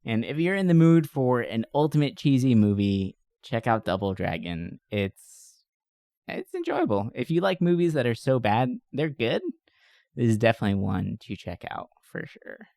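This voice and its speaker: Male podcast co-host, mid-pitched, rambling and enthusiastic, giggles easily, casual review chat, very dry recording. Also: very animated, normal speed, vocal fry, darkish tone, no echo.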